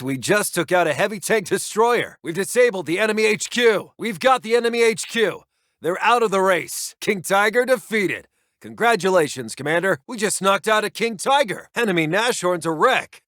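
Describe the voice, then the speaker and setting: A battle-weary American military intelligence officer for a strategy game. His voice sits a little higher than most men's, with a clipped, soldierly delivery of grave field reports. The sound is dry, with no room around it. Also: a bit bright, no echo. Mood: pleased, energized. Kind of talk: scripted military radio-report game voice lines.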